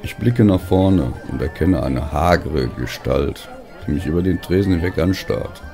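A man narrating a literary story in the first person as an audiobook reader, with a gravelly voice and deliberate phrasing. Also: a bass voice, warm tone.

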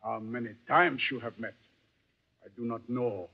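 A man's voice: mid-range voice, fairly even intonation, normal speed, breathy edge, close to an everyday voice. An actor playing a stern, accusing king and father in a vintage radio drama, speaking in solemn, pompous, formal phrases. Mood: stern, grave. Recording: dull old-time radio recording.

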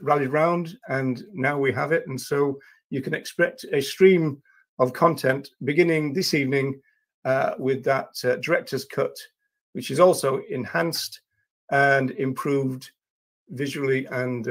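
An older man hosting a casual livestream, talking to viewers in a hesitant, halting way with drawn-out pauses. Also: medium pitch, fairly even intonation. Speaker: livestream host. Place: small indoor room at a desk.

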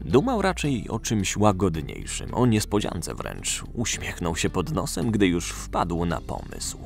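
A man narrates a scripted audio story in a very low voice. His delivery is lively and varied in pitch, with a creaky, gravelly edge. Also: a little slow, soft background music.

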